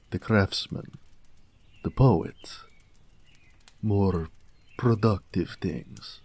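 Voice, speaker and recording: Man voicing a deep, slow, gentle orc blacksmith. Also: no echo. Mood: earnest, measured. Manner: soft, measured, tender delivery with hushed moments. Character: gentle peace-loving male orc blacksmith, tender and wistful. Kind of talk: intimate romantic audio roleplay.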